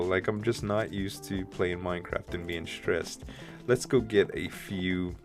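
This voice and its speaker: A man with a deep voice, a bit rough from the morning, casually thinking aloud while building in a game he hosts. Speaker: let's play host. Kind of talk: casual gameplay commentary.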